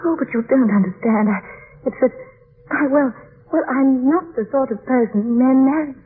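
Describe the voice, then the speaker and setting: A woman's voice: sits high, moderate pace, natural voice. An actress playing a shy, naive young woman in love, with a hesitant, apologetic way of speaking, in vintage radio drama style. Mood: anxious, distressed. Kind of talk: 1940s radio drama acting. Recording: muffled old radio broadcast with music.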